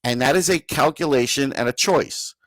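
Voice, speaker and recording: A man's voice: mid-range voice, normal speed, fairly bright tone, no room sound, poor audio. A man co-hosting a sports podcast, giving casual, opinionated analysis in an emphatic, conversational way. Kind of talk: casual hockey analysis chat.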